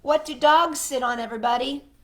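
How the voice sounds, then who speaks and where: A woman reading to children at storytime, expressive and playful, talking directly to young listeners. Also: high voice, a little fast. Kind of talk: read-aloud storytime for children with chatty asides. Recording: echoey room.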